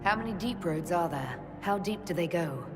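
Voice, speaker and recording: An actress playing the composed, inquisitive leader of a fantasy order in a video game, direct and authoritative. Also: female voice, fairly low pitch, animated, normal speed, natural voice. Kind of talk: video game cutscene acting. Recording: long cavernous reverb, music underneath.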